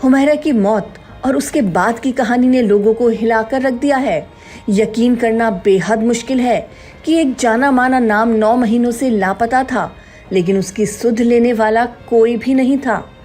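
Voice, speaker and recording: A woman's voice: on the high side, a little slow, a little mellow. A woman reading a scripted, dramatic news narration. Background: background music.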